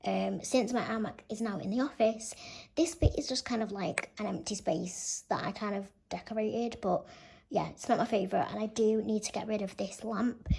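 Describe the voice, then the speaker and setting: A young woman vlogger chatting casually through a room tour, gushing and filling her talk with "like", her voice a little croaky. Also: mid-range voice, normal speed, darkish tone. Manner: chatty, gushing, says "like" a lot. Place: bedroom.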